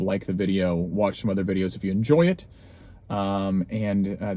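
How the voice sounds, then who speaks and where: Young guy vlogging outside in the snow, lowish mellow voice, lots of ums. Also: dry recording. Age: young adult. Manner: rambling, many ums and restarts. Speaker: vlog host. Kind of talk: casual chat. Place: outdoors in snowy cold.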